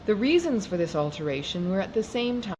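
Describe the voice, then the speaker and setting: Lady reading an old novel aloud, mid pitch, noisy hiss in the recording. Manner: measured, expressive reading. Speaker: audiobook reader. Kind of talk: classic novel narration.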